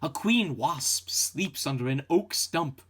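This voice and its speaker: A man reading a children's picture book aloud as scripted narration, with expressive storytelling delivery. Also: a little high, takes it slowly, background noise. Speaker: storybook reader.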